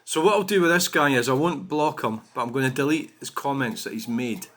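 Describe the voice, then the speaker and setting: A man's voice: mid-range voice, a little fast, a bit bright. A Scottish man hosting his own channel, ranting indignantly and profanely about viewer comments with plenty of "you know" fillers. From Scotland. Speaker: channel host.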